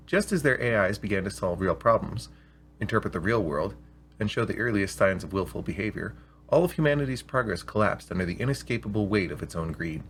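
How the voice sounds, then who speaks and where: A man with a slightly rough voice, hosting and reading scripted stories aloud in an expressive, deliberate way. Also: fairly low pitch, slight background noise. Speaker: storyteller and show host. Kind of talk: scripted story reading with spoken intro.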